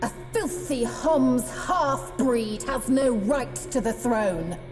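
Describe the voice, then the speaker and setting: An actress playing a haughty court villainess in a game, formal and full of contempt. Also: female voice, fairly high pitch, lively intonation, slow pace. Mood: contemptuous. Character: haughty, scornful female villain, loyal court subject. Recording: echoing chamber, faint music.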